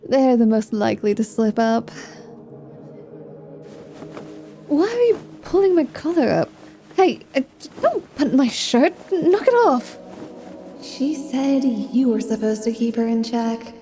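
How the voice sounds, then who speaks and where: A woman's voice: fairly high pitch, sing-song, unhurried, warm tone, soft background music. A woman playing a sly, flirty, irreverent vampire who poses as a pious paladin, teasing and sarcastic, in an ASMR roleplay drama. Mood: irritated, snarky. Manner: teasing, sarcastic, feigned piety.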